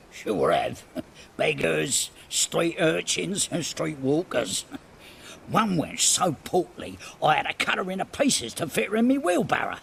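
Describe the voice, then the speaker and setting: An actor playing a coarse, sleazy, foul-mouthed body hauler in a video game, with a croaky voice and a working-class British accent. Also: male voice, fairly high pitch, wildly swooping pitch, slow, a bit bright, a little hiss or hum, exaggerated voice. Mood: gleeful. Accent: British regional working-class. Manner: chuckling, crude, swinging between musing and snapping. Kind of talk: video game character acting.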